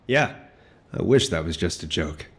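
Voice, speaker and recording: An actor playing a casual, sardonic veteran fighter in a video game, with a dry joking manner. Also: male voice, low voice, animated, a little slow. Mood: subdued, dry. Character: wry, laid-back veteran male fighter, Blair. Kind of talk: video game dramatic acting.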